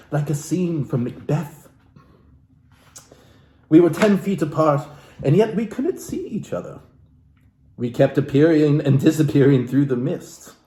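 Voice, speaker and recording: A man from Alaska giving a comedic, theatrical book reading as host, florid and mock-genteel, with dramatic pauses and asides to his viewers. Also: on the high side, warm tone. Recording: roomy, echoey room sound.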